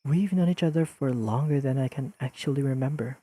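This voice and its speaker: A man playing an earnest, awkwardly analytical young best friend in an intimate audio roleplay, speaking haltingly and carefully to the listener. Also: mid-range voice, no room sound, close to an everyday voice. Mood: careful, explaining. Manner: halting, careful, softly pleading, slightly formal wording.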